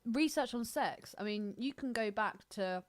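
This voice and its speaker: A woman's voice: fairly high pitch, expressive, a little slow, no echo. A woman co-hosting a casual podcast, chatting in an informal, slangy, unscripted way. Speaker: podcast co-host.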